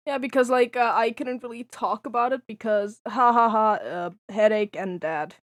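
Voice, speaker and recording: Young woman from Estonia, somewhat high voice, hesitant and jokey on a call. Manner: halting, many fillers and restarts, joking. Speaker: podcast co-host. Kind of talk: casual chat among friends. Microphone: own mic on a voice call.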